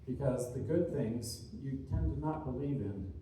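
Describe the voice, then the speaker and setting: A man giving an inspirational speech to a room, earnest and deliberate, speaking in short, emphatic, repeated phrases. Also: medium pitch, mellow. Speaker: motivational speaker, author. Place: large indoor room at a seminar. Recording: distant mic, echoey room, faint noise.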